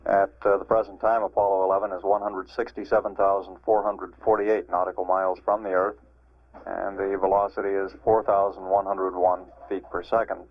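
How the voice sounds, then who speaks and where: A man giving official spaceflight status commentary in a measured, hesitant, matter-of-fact way. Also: low voice, level tone. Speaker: mission control public affairs announcer. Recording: dull archival 1960s broadcast audio.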